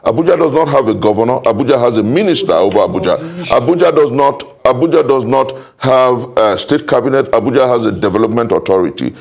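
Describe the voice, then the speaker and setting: A Nigerian man speaks as a guest in a political debate. His voice is fairly high and noticeably creaky, and he argues in a formal, oratorical way, stressing phrases by repeating them. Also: lively intonation, a little mellow. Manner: emphatic, formal, deliberate repetition.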